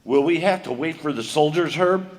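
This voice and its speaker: Folksy old-west engineer dad, a man acting it, slightly high voice, echoey hall. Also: moderate pace, natural voice. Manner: folksy Western drawl. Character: plain-spoken male train engineer and father. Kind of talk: stage reenactment of old-time radio drama. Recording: reverberant theater hall.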